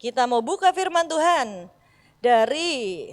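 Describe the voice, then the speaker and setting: A middle-aged woman pastor from Bandung with a Sundanese accent, preaching with lively storytelling and acted-out dialogue. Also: high voice, slow pace, in a large hall. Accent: Sundanese-accented Indonesian. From Bandung, West Java. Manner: animated storyteller, acts out dialogue, addresses congregation as "saudara". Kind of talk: sermon with storytelling. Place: church hall.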